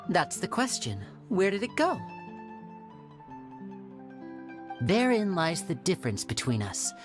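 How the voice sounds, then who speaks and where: Suave, low-pitched young male magician. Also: sing-song, moderate pace, music in the background. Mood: showmanlike. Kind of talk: English video game voice acting.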